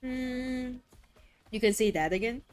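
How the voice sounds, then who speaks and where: A woman streaming a video game and chatting casually, excitable and swearing freely, her throat a little rough today. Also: high-pitched, takes it slowly, faint music behind. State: throat a bit rough, voice deeper than usual. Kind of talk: casual chat while gaming.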